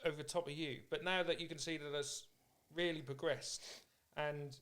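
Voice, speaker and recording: A man with a fairly high voice hosts a casual chat. He speaks informally, in an encouraging and matey manner, as an interviewer among friends would. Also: fairly even intonation, normal speed, a bit bright. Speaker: podcast host.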